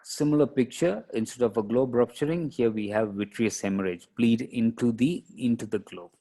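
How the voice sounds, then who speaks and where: A man lecturing as a radiologist, explaining case images step by step in a halting, explanatory way. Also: lowish voice, mellow, a little hiss or hum.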